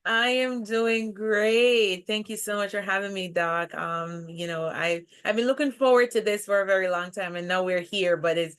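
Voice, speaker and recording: A woman from Jamaica speaks with a Jamaican accent as an interview guest. Her voice sits at a medium pitch, and she talks in a conversational way, as a leadership coach would on a podcast. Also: normal speed, few pauses, fairly bright tone, clean tone.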